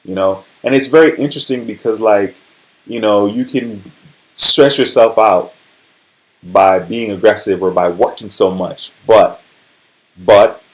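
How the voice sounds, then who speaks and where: Low-voiced man in his forties, casual livestreamer. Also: rough recording. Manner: conversational, frequently checks in with listeners. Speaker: livestream host. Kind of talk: casual motivational livestream chat.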